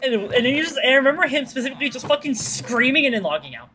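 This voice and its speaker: A woman's voice: very fast, low-quality recording. A woman chatting casually as a streamer, telling stories in a slangy, sweary way and acting out what people said. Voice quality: fairly deep-sounding for a woman. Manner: slangy, sweary, animated retelling with acted-out quotes. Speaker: streamer chatting with viewers while gaming. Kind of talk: casual chat, rambling storytelling.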